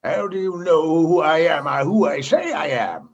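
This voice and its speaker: An actor playing a brusque, demanding, paranoid commodore in a comedy radio play, imperious and scolding. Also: male voice, on the high side, expressive, normal speed, vocal fry, highs cut off. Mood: testy.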